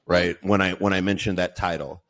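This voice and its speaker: A man with a lowish voice talks in a loose, rambling way. He restarts his sentences often and teases the others in the group. It sounds like a relaxed podcast conversation. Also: brisk. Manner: rambling, many restarts, teasing. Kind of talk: casual panel chat.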